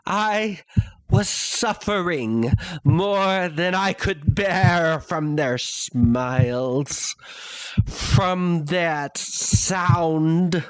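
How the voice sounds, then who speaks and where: A man playing a guilt-ridden, mad murderer in a dramatic gothic horror reading, speaking in a halting, theatrical way. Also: on the high side, no room sound, heavily acted. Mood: mounting panic. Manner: halting, theatrical, hushed to crying out. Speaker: narrator.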